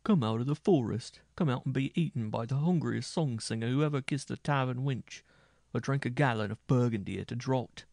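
A man's voice: medium pitch, steady tone, normal speed, a little mellow, no room sound, studio quality, natural voice. A man voicing a boisterous, boastful medieval minstrel in a storybook tale, with old-fashioned oaths. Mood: mocking bravado. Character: boisterous bearded medieval minstrel, mocking braggart, later terrified.

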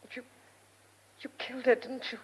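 Actress as an anxious, high-voiced young woman. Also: flat delivery, normal speed, slight background noise, close to an everyday voice. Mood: shaken, tender. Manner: earnest, pleading. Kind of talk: radio drama acting.

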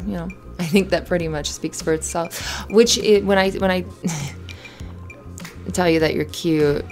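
Woman playing a flustered, flirty barista, low creaky voice. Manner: giggly, halting, rambling with repeated restarts. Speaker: ASMR roleplay voice performer. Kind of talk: intimate ASMR roleplay, improvised-sounding chatter. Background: soft music.

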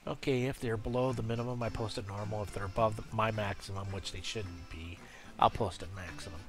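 Stuffy-nosed man with a cold, halting. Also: lowish voice, steady tone, over music. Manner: halting, many uhs and restarts. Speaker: game guide creator. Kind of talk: casual screen-recorded tutorial.

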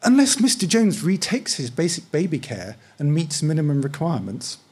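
A man reads the part of a female county official in a short story. The delivery is husky and polite, with scripted customer-service sympathy and an officious edge. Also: on the high side, big pitch swings, moderate pace, a little mellow. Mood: apologetic, officious.

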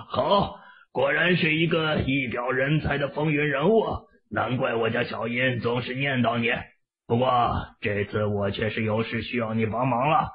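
A man's voice: medium pitch, a little slow, lo-fi sound, natural voice. A man voicing a hearty, crafty elderly military leader in an audio novel. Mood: hearty, jovial.

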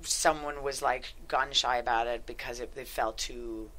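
A middle-aged woman talking casually as an interview guest, halting as she thinks aloud, on a headset mic in a studio. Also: a bass voice, steady tone, a bit bright. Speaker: guest screenwriter. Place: small podcast studio, indoors.

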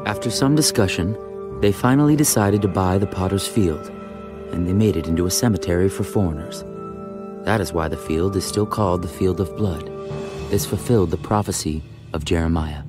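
Low-voiced male scripture narrator. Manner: measured, storytelling delivery. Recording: music behind the voice.

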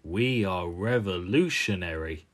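A smug, scheming diesel engine from a children's cartoon, played by a man. The voice sits low and crawls along in an oily, pompous drawl, full of self-importance. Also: animated, unhurried, fairly bright tone. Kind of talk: amateur cartoon character voice reel.

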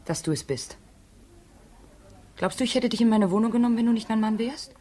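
Insistent, devoted wife. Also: female voice, a little high, a little fast, mellow, breathy edge. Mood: insistent, reproachful. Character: insistent, devoted wife pressing her husband. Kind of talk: 1950s radio drama acting. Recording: old radio recording with noise.

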